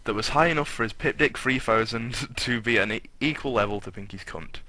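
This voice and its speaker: A man's voice: mid-range voice, a little fast, sharp tone, no echo. A man narrating a fan fiction as a dramatic reading, irreverent and foul-mouthed, often breaking off to comment. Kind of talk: dramatic reading with casual banter.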